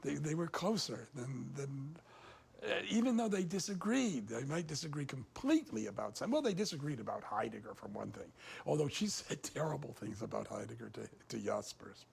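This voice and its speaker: A man in a documentary interview, talking in halting bursts and repeating words, audibly moved. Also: medium pitch, sing-song, vocal fry, darkish tone, husky.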